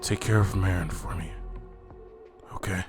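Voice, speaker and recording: A man's voice: low-pitched, fairly even intonation, unhurried, music in the background. A man playing a weary, wry martial-arts warrior in an anime fan drama, sardonic and casual in manner. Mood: solemn, pleading. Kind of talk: anime fan-fiction roleplay voice acting.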